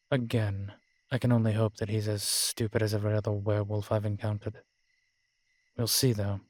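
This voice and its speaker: Guy doing a sardonic vampire for audio rp, lowish voice, kinda formal and weary, super dry close recording. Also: level tone, darkish tone, dry recording, natural voice. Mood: wry, pondering, then exasperated, dry. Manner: wry, measured, formal phrasing with sighs. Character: sardonic, weary male vampire, protective of a little companion. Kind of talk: scripted audio roleplay drama.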